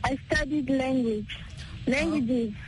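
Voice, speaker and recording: A woman student speaking haltingly and correcting herself as she goes. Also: sits high, background noise. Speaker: university language student.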